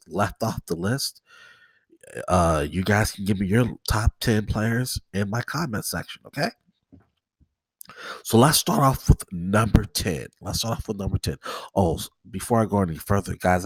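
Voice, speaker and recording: A man's voice: on the low side, slightly rough, dry recording. A man hosting a casual solo podcast, nasal and stuffed up from a cold, rambling and repeating himself as he talks straight to his viewers. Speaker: podcast host.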